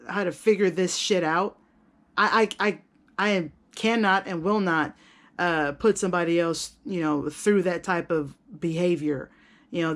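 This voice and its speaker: A woman chatting casually to her viewers as a vlogger, candid, self-deprecating and rambling, with slangy language and casual swearing. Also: lowish voice. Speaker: video blogger and content creator. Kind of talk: casual vlog chat to viewers.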